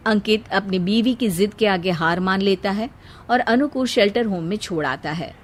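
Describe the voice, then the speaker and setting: A woman's voice: mid-range voice, normal speed. A woman narrating a scripted moral story as a storyteller.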